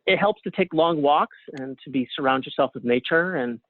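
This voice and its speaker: A man speaking as an interview guest in casual conversation, with a reflective, halting way of phrasing things. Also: fairly high pitch, dry recording. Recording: thin phone-like line.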